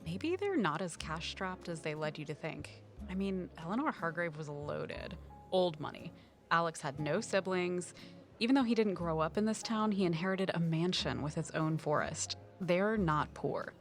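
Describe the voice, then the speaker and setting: A woman's voice: on the low side, animated, normal speed, soft background music. An actress playing a knowledgeable woman conservation officer and sleuthing sidekick in a naturalistic audio drama, informative and wry. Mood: gossipy.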